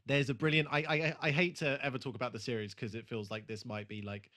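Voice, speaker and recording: A man's voice: medium pitch, level tone, fast talker, bright, forceful tone. Sweary man chatting on a podcast. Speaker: podcast host. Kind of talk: casual chat about a TV show.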